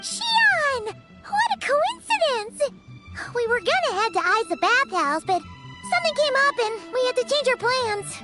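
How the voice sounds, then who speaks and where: A woman's voice: very high voice, big pitch swings, a little slow, heavily acted. A woman voicing a cheerful, playful little girl witch in an anime-style video game, with music under her. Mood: cheerful surprise.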